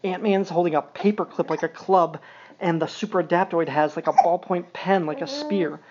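A man's voice: high voice, moderate pace, darkish tone. A middle-aged man reads a picture book aloud to a young child, with wry, informal, conversational asides. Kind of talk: storybook read-aloud with casual asides. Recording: dull, muffled audio with background noise.

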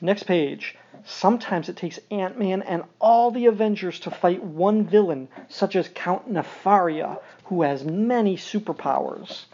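Mellow man, dull muffled recording. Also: high voice, a little slow, few pauses. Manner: wry, informal, conversational. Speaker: podcast host reading a picture book aloud to a young child. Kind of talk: storybook read-aloud with casual asides. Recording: dull, muffled audio with background noise.

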